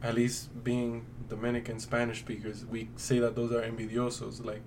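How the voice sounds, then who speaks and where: A man talks casually as a podcast co-host, in a middle-pitched voice. His delivery is colloquial and emphatic. He sounds a little away from the microphone, with faint noise around him. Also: monotone, moderate pace, darkish tone. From New York City. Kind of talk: casual conversational podcast chat. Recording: distant mic, faint background noise.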